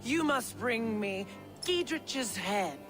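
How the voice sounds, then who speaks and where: A woman's voice: fairly high pitch, lively intonation, slow, music in the background. An actress playing a ruthless, pious noblewoman, imperious and scornful, as a villain in a game. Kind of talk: dramatic video game acting.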